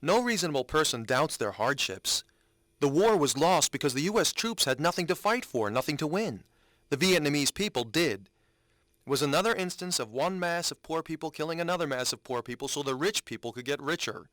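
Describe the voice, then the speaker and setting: A man's voice: a little high, animated, a little fast, fairly bright tone, no echo, studio quality. A man giving a dramatic reading as a blunt, indignant critic of a war. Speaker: reader. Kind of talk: dramatic reading of written commentaries.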